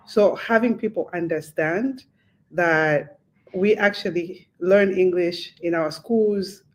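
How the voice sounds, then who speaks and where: A woman's voice: fairly low pitch, slow pace, slight background noise. A woman with a Zambian accent, a podcast host speaking as a guest on a panel discussion, explaining her points earnestly.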